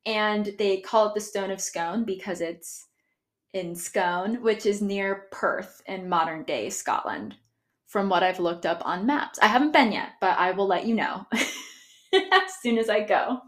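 An American woman talks through history in a chatty, informal way. She stumbles, corrects herself and laughs along the way. Her voice sits at a middle pitch. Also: expressive, measured pace, a bit bright. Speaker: history podcast host. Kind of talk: casual educational talk.

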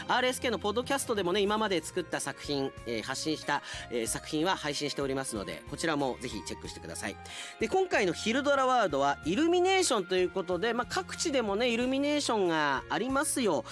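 A man hosting a radio show, chatting casually with conversational asides as he reads out listener mail. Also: high-pitched. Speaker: radio announcer and show host.

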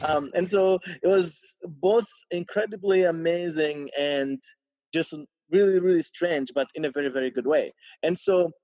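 A man in his thirties, born to Burundian parents, speaking in a relaxed interview and telling his life story with many pauses and restarts. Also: on the high side, no room sound. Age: 30s. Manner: reflective, many ums and restarts, laughs often. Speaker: podcast guest. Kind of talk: casual interview conversation. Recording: dry, dull lo-fi sound.

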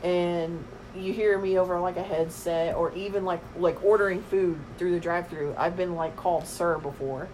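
Middle-aged mom, very deep low voice, blunt and matter-of-fact, faint noise behind. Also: female voice, fairly even intonation, moderate pace, hardly any pauses, darkish tone, rough recording. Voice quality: very deep voice for a woman. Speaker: guest, the host's mother. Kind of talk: casual chat.

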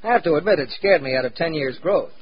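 Casting: male actor as plucky American war reporter, higher-pitched, wry and dramatic, on muffled, noisy 1940s radio. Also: animated, lightly acted. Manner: dramatic, measured, wry understatement. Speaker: correspondent narrating his own experiences.

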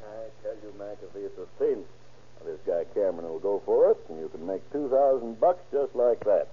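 An American actor playing a shady, persuasive swindler in a vintage radio crime drama, coaxing and evasive. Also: male voice, fairly low pitch, lively intonation, brisk, husky. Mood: confident, scheming. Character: shady, persuasive male swindler pitching a crooked scheme. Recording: scratchy, tinny old radio recording.

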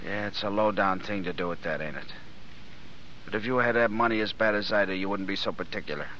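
An actor playing a restless young tough drifter with clipped, slangy American talk, in an old-time radio drama. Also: male voice, lowish voice, fast talker, pushed and bright. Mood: flippant. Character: restless tough young drifter and reluctant thief, gruff but soft underneath. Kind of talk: 1930s-40s American radio drama acting. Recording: muffled, noisy old radio recording.

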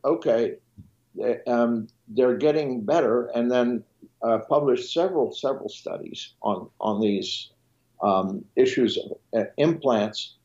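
A man's voice: mid-range voice, a little mellow. Man with a dull remote line. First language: French. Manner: explanatory, with frequent restarts and trailing lists. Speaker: physician guest. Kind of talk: interview answers on a podcast.

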